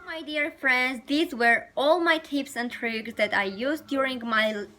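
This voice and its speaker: Bright high-voiced woman, native Armenian speaker. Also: unhurried, slight background noise. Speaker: host giving English study tips. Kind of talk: talking to camera, advice vlog.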